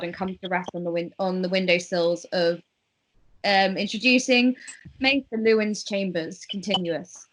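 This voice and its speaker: A woman's voice: mid-range voice, a bit bright, poor audio. A woman narrating a table read, reading stage directions aloud and at times stumbling over words.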